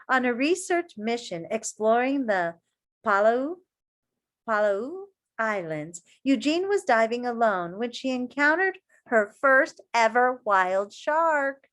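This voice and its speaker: A woman with a fairly high voice reads a picture book aloud at a very unhurried pace. She speaks expressively to young listeners, placing deliberate emphasis on phrases. Her manner is that of an encouraging elementary teacher who adds small asides as she goes. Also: a bit bright. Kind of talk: children's story read-aloud with asides.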